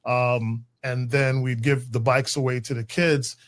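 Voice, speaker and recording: Relaxed, slangy man co-hosting a podcast. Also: medium pitch, a little slow. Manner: relaxed, slangy, storytelling with restarts. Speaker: podcast co-host. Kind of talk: casual chat.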